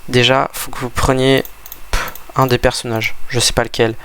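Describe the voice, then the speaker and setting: A man with a gravelly, fry-heavy voice talks through a game he is playing, congested from a cold. He rambles and stumbles over his words, restarting sentences as he goes, while keyboard typing clicks away behind him. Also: medium pitch, little pitch movement, normal speed, a bit bright. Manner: rambling, hesitant, many restarts. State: stuffy from a cold. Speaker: gaming video creator. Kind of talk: casual gameplay commentary.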